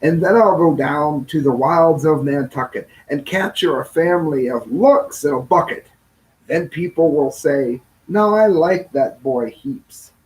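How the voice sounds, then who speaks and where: Guy reading a kids rhyming book out loud, kinda high voice, real slow, sing-songy and theatrical, trips on words now and then. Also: low-quality recording. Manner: stumbles and corrects himself while reading. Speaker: storybook reader. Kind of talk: read-aloud children's storybook in rhyme.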